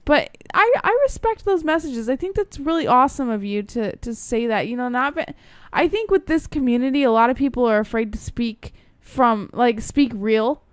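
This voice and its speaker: Female call-in host, high smooth voice, dry close sound, blunt and earnest. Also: lively intonation, normal speed, dry recording. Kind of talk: casual, candid chat.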